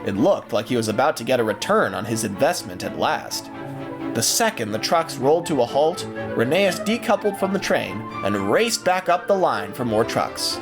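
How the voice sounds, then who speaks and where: A man giving scripted, expressive narration for an audio drama in a storybook style, using dramatic pauses, with music underneath. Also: medium pitch.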